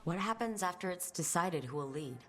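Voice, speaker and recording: An actress playing a calm, supportive adventurer hero in a video game, plain and sincere in manner. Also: female voice, lowish voice, brisk, lightly acted. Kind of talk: video game cutscene acting. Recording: loud music behind the voice.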